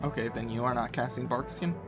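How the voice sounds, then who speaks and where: A man acting as game master, narrating a tabletop game casually and informally, often pausing to think aloud. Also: mid-range voice, fairly even intonation. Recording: music behind the voice. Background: game soundtrack music.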